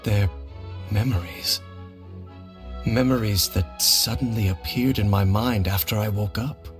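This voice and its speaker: An actor playing a grave, reserved male wanderer in a video game, speaking in a formal, measured and calm way. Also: lowish voice, faint music behind, lightly acted.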